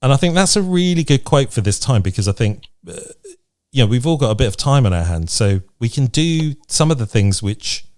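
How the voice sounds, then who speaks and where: A man's voice: medium pitch, big pitch swings, a little fast, darkish tone. A man co-hosting a podcast in casual chat, with an encouraging, upbeat manner. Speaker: podcast co-host.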